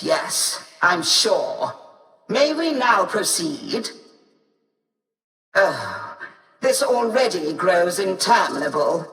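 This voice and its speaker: A woman's voice: medium pitch, fairly bright tone. An actress voicing an imperious, impatient and suspicious female authority figure in a video game, haughty, curt and formal. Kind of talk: dramatic video game character acting. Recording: echoey hall, distant from mic.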